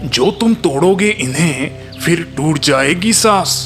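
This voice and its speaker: A man's voice: on the high side, lively intonation, fairly bright tone. A man voicing an old forest holy man, grave and admonishing, with a solemn, preachy delivery. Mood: stern, admonishing. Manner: solemn, preachy, sometimes slow and verse-like. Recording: music behind the voice.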